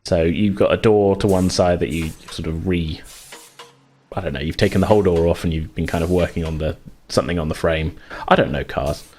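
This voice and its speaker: A low-pitched man narrates a tabletop game as game master. He improvises informally, feeling his way through phrases. Soft music sits underneath. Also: steady tone, fairly quick.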